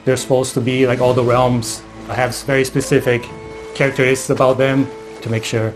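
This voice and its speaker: Flat-pitched man in an interview. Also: brisk, music in the background. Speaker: game art director. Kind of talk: documentary interview.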